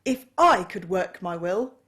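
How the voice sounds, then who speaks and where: A woman voicing a bitter, miserly old man who answers with loud, indignant outbursts. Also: mid-range voice, big pitch swings, a little slow, voice pushed up.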